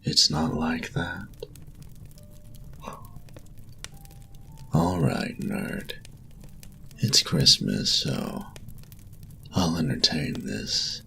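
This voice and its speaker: Man playing a very deep, gravelly, smug bully. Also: bright, forceful tone, a little hiss or hum, heavily acted. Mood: mocking. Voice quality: gravelly, often dropping to a whisper. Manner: taunting, breathy, drawn-out delivery. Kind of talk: intimate roleplay audio acting.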